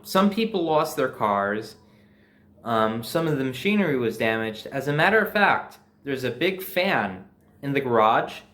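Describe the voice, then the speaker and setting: A man's voice: on the high side, a bit bright. A man telling a personal story in a casual, informal and emphatic way, with some swearing. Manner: informal, profanity-laced, emphatic. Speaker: storyteller host.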